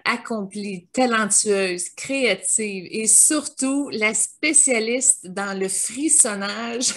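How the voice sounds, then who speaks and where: A woman hosting an interview, asking questions in an admiring tone with frequent fillers. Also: medium pitch. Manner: warm and admiring, with many fillers. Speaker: interview host.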